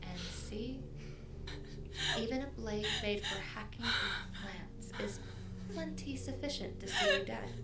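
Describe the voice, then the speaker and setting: A woman's voice: a little high, sing-song, unhurried, roomy sound, very noisy. A woman playing a menacing, playfully cruel villainess in an audio drama, taunting and mock-tender, sometimes sliding into whispers. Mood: cold threat.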